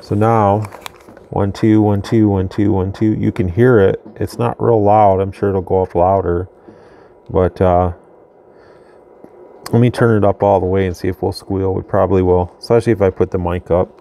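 A man's voice: low voice, moderate pace. A man casually demonstrating a radio at his workbench, thinking aloud as he goes. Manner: relaxed, thinking aloud, restarts. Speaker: radio reviewer. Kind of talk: casual product demo. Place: workbench in a home radio room.

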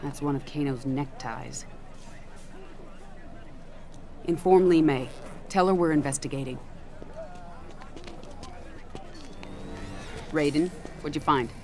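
Low-voiced, tough military commander. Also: female voice, normal speed, darkish tone, a little hiss or hum. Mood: tense, commanding. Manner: clipped, authoritative. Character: brisk, tough female military commander, middle-aged. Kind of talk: video game cutscene acting.

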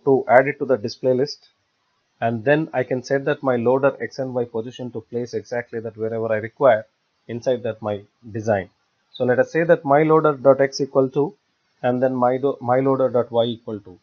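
A man giving a step-by-step software tutorial in a halting, repetitive way. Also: medium pitch. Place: voice-over for screen recording. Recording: dull, lo-fi screencast audio.

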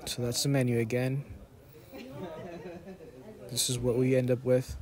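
Male food vlogger, thirties, medium pitch, little intonation, dry joking voice-over. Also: a little slow, a crowd in the background. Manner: deadpan, jokey. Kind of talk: narrated vlog voice-over, casual storytelling.

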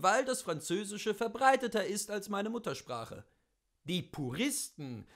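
A man reading a literary memoir aloud as narrator, in a theatrical, deliberate and eloquent manner. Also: high voice, a bit bright. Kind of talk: expressive literary audiobook reading.

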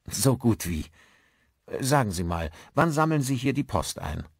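An inquisitive man new to the village played by a male narrator speaks politely and questioningly. His voice sits a bit above a low register, with lively intonation, in audiobook style. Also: unhurried, gravelly, dry recording, clean studio sound, full character voice.

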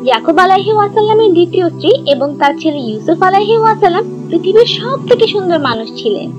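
A woman narrating a scripted children's story in a clear, instructive manner. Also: extremely high pitch, big pitch swings, fast, lo-fi sound. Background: background music.

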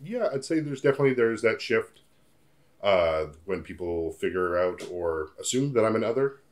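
Male, mid-thirties, American accent, low register. Reflective interviewee talking about himself. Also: big pitch swings, normal speed. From Portugal and United States. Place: indoor living room, seated in armchair.

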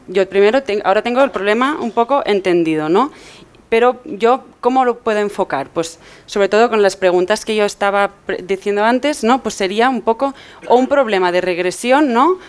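A young woman from explains technical material as a conference speaker. Her voice is smooth and clean, at a middling pitch. She feels her way through phrases and often tags them with a questioning check for agreement. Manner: hesitant, often seeking agreement with tag questions. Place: medium-sized indoor event room with seated audience.

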